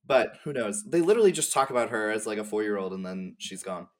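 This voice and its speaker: A woman's voice: a bass voice, animated, fast. A woman chats informally as a guest, working through her thoughts aloud. Her delivery is conversational and appreciative, like someone discussing a favorite game. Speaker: podcast guest. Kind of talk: casual conversational commentary on game lore.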